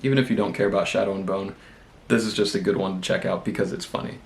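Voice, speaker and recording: A young man with a cold, congested, chatting casually and rambling in a relaxed, self-deprecating way as a book vlogger. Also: low-pitched, quick pace, gravelly. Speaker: booktube vlogger. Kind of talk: casual vlog chat.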